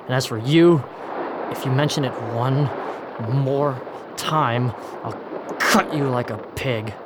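An actor playing an irritable, menacing pirate captain in a period radio drama, threatening and impatient. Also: male voice, medium pitch, takes it slowly, heavy background noise, natural voice.

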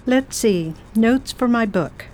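A formidable spinster travel writer who gives orders and talks down to everyone, as a woman acts her. Her manner is crisp, condescending and brisk. Radio play acting. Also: fairly high pitch, lively intonation, a little mellow. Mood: stern. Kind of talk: amateur radio drama acting.

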